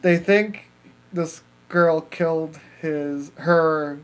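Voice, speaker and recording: A man's voice: on the high side, very slow, clear, resonant tone. A man hosting a podcast, casually reviewing films in a rambling, sweary way. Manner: rambling, profane, filler-heavy storytelling. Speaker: podcast host. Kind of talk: casual movie review chat.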